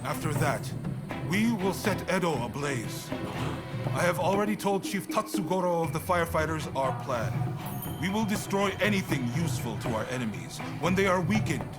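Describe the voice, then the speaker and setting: A man acting a shrewd, grave samurai commander in a video game cutscene, speaking in a measured, resolute way. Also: a little high, expressive, loud music behind the voice, close to an everyday voice. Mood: resolute, urgent.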